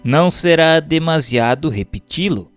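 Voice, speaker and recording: A Brazilian man narrates a written text in a formal, instructive way. His voice sits a little high and swings widely in melody, with careful enunciation. The pace is unhurried, and soft music plays underneath. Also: expressive. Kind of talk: scripted narration, reading a book aloud.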